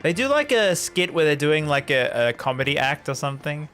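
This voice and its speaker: Male game streamer, slightly high voice, casual and jokey, quiet music behind. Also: very animated, brisk. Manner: chatty, jokey. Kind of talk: casual live game commentary.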